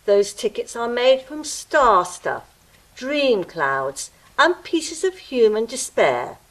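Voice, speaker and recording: A woman's voice: a little high, big pitch swings, slow, a bit bright, full character voice, low-quality recording. A woman playing a fussy, pompous ticket-eating gatekeeper creature in an audio drama, with pedantic, theatrical delivery. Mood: haughty, officious. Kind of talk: stage-style audio drama acting.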